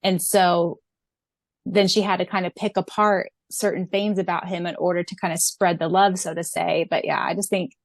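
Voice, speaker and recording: A woman's voice: fairly low pitch, steady tone, moderate pace, vocal fry, dry recording. A young adult woman co-hosting a podcast, talking casually in a fan discussion. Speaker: podcast co-host and audiobook narrator.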